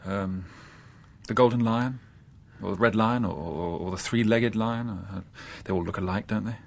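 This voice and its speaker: An actor playing an insolent young English suspect in a radio drama, flippant and evasive. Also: male voice, lowish voice, moderate pace, gravelly. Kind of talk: naturalistic radio drama acting.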